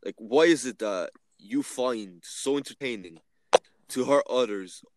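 Earnest man, quick. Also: mid-range voice, slight background noise. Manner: earnest, fast, leans on "like". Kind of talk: casual discussion.

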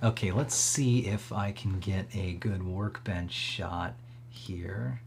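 A man with a slightly husky voice hosting a casual live tech demo, thinking aloud in a rambling, conversational way. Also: sits low, takes it slowly, a little mellow, a little hiss or hum. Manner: conversational, rambling, frequent hedging asides. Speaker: livestream host demonstrating electronics projects.

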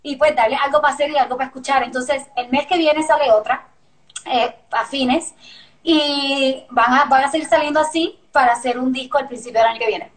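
A woman's voice: high-pitched, fairly even intonation, fairly quick, rough recording. A young woman from Puerto Rico with a Puerto Rican accent, a singer-songwriter guest chatting candidly and easily. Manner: easygoing, laughing, candid. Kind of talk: casual interview chat.

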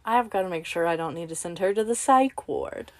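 A woman's voice: on the low side, expressive, a little fast, a little mellow, no room sound. A young woman co-hosting a podcast, chatting casually and teasing her friend with dry jokes. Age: young adult. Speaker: podcast co-host. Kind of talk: casual chat.

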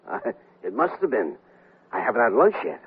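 A man's voice: mid-range voice, sing-song, normal speed, vocal fry, slightly rough, full character voice. A man acting in a radio comedy as a flustered, defensive executive who stammers. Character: flustered, defensive male executive fond of martinis. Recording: tinny band-limited old radio broadcast.

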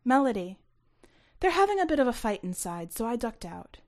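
An audio-story character, a middle-aged woman visitor acted by a female reader. She talks in a raised pitch with an offhand, breezy ease, casual about everything. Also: very animated, fairly quick, darkish tone.

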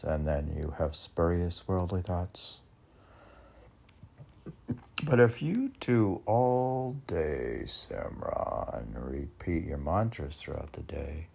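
A man musing in a rambling podcast style as a poet, in a halting, gravelly, husky voice that often trails off. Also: sits low, a little mellow. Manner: halting, drawn-out delivery with trailing-off phrases. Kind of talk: rambling podcast musing, asking and answering himself.

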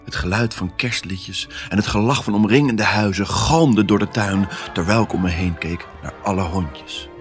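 A male actor voicing an excitable pet dog who tells his own Christmas adventure in an expressive, theatrical family audio drama style. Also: on the low side, moderate pace, few pauses, faint music behind, natural voice. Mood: warm, joyful. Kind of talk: first-person storytelling in a family audio drama.

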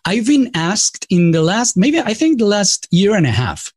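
A man's voice: sits high, sing-song, normal speed, husky, dry recording, clean studio sound. A man with a Latin American Spanish accent, a native Spanish speaker, talking conversationally as a podcast host, often digressing and correcting himself. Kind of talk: casual conversational interview.

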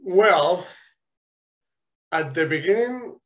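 A man from Spain, telling lively stories as a guest in a casual interview. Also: high-pitched, extremely slow. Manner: animated storytelling, frequent restarts and laughs.

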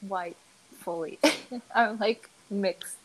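A young woman from the Philippines with an American-sounding accent, co-hosting a casual podcast, chatty and quick to laugh. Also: mid-range voice, rough recording. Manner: chatty, laughs often, trails off into quiet asides. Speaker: podcast co-host. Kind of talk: casual chat.

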